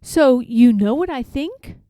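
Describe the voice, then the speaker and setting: A kind, earnest male storybook elephant, played by a woman, pitched high and swinging widely. He speaks slowly and gently, with sincere, protective emphasis. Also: animated, no room sound, clean studio sound. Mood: concerned. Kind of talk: children's storybook read-aloud.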